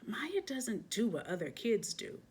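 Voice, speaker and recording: A woman's voice: a little high, sing-song, a little slow, a little mellow, husky, pitched above the performer's own voice, exaggerated voice. A watchful storybook mother, read out by a woman. She sounds concerned and a little instructive, in an everyday female voice. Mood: worried. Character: worried, watchful mother of a young girl.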